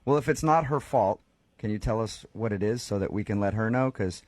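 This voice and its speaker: A man's voice: fairly low pitch, a little fast, a little mellow, no echo. A man hosting a morning radio show, chatting with a caller in a casual, teasing way and often breaking into laughter. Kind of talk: casual morning radio chat on a phone call. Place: radio studio. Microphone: studio microphone.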